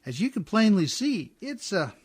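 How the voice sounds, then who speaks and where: A man's voice: high voice, very animated, normal speed, slightly rough, exaggerated voice. A man playing a scrappy, good-hearted riverboat captain in an old-time radio drama, with folksy, ungrammatical working-man speech. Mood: firm, coaxing.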